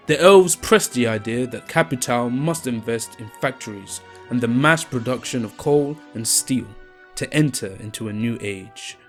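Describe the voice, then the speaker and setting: Man narrating an audio drama. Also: mid-range voice. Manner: measured, storytelling. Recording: narration over faint music.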